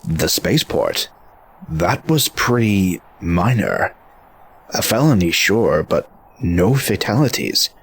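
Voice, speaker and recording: A man's voice: deep voice, very animated, takes it slowly, a little hiss or hum, full character voice, poor audio. A man playing an earnest, plain-spoken robot squad leader in an audio drama. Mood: curious, earnest. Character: earnest, principled robot hero leading a squad.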